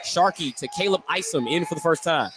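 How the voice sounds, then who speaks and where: A man's voice: a little high, a little fast. A man doing live radio play-by-play of basketball from courtside, describing each move in clipped, detailed phrases. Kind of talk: live sports play-by-play commentary. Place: courtside in a school gym. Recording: noisy live gym broadcast.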